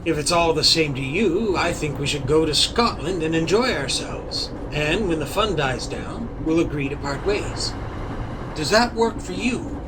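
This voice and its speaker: A man's voice: on the high side, lively intonation, measured pace. A man acting a plucky, wrongly accused adventurer in an audio play, urgent and suave in manner. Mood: suave, playful. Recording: noisy home-recorded audio on consumer device.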